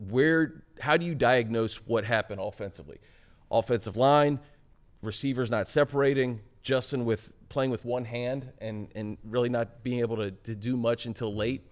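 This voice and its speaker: A man's voice: mid-range voice, moderate pace. A man hosting a football talk show, discussing and posing questions in a conversational way. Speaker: sports talk show host.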